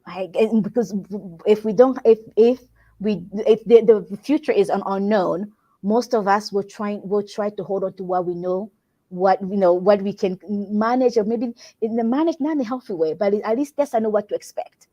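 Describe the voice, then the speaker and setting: Guest: woman from Congo, warm dark tone, earnest and reflective, restarts phrases. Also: mid-range voice, normal speed, highs cut off. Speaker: guest, workplace wellbeing consultant. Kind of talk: conversational interview answer.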